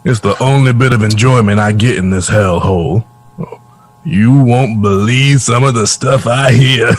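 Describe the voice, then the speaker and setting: A man's voice: medium pitch, natural voice. A man acting in an audio drama as a wry old former musician who is a kindly mentor. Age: older.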